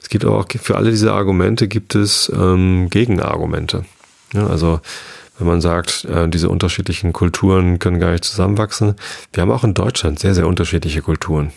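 A man from the Hamburg area, talking through his ideas in a reflective monologue, halting often with fillers and restarts. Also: low-pitched, fairly even intonation, vocal fry. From Northern Germany, Hamburg area. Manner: hesitant, many fillers and restarts.

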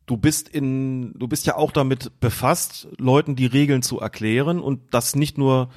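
A man calmly explaining football rules in a casual podcast chat, hesitant and full of fillers. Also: medium pitch, moderate pace. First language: German. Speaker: referee rules expert guest.

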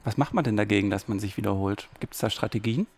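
A man's voice: on the low side, fast, a little mellow, a little hiss or hum. A man hosting a conversational interview, asking informal, inquisitive questions. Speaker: interviewer, podcast host.

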